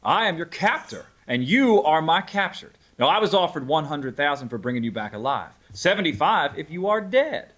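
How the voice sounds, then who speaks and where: A man's voice: fairly high pitch, very animated, moderate pace, hardly any pauses, fairly bright tone. A man playing a cocky, menacing bounty hunter with folksy, taunting phrasing in a screenplay table read. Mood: cool menace.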